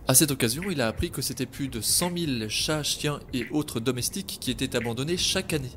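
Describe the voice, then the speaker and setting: A man's voice: mid-range voice, lively intonation, fairly quick, fairly bright tone, a little hiss or hum. A man narrating a podcast story in a wry, storytelling manner.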